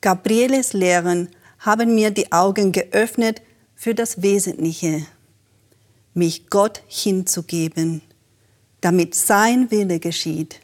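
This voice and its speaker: Gentle female presenter reading letters. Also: medium pitch, slow. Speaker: broadcast presenter. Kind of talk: reading viewer letters aloud.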